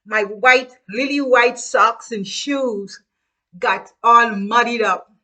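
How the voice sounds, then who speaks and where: Guyanese woman, middle-aged, casual storyteller, bright and high-pitched, chatty with repeated phrases. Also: slow. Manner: chatty, laughs while reminiscing, repeats phrases. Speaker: host.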